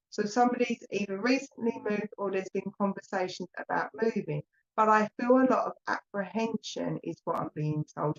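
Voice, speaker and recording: A woman with an Essex accent chats her way through a live psychic reading and calls viewers "my lovely". Her voice sits in a middle range. The stream audio is dull and lo-fi. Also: medium pitch. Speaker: psychic medium. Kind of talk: live online mediumship reading.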